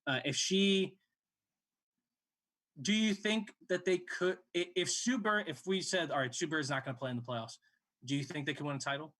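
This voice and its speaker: A man's voice: a little high, moderate pace, a bit bright. A man in his late twenties hosting a sports show, giving casual game analysis in a conversational way.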